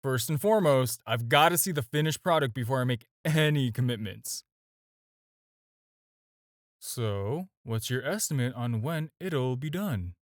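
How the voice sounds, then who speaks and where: Man acting a smooth supervillain, flirtatious, casual teasing delivery, swooping intonation, close dry sound, audio roleplay. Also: medium pitch, expressive, unhurried, dry recording, lightly acted. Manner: teasing, casual, conversational with hesitations. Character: flirty, smooth, self-assured male supervillain courting his tailor. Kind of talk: scripted audio roleplay addressed to the listener.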